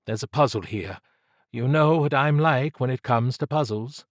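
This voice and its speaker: Man voicing steely, brisk woman spy, pitched up. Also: dry recording, clean studio sound, lightly acted. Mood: steely, challenging. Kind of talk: audiobook character dialogue.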